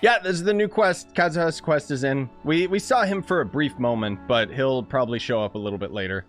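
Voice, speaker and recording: A man's voice: mid-range voice, fairly quick, soft background music. A man giving casual, playful, joking commentary while playing a video game. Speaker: gaming streamer.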